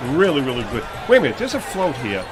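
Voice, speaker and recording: A man hosting a radio show, giving mock live parade commentary in an excitable way, full of exclamations and questions to his co-hosts. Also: mid-range voice, fast talker, over music. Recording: noisy radio broadcast with loud crowd.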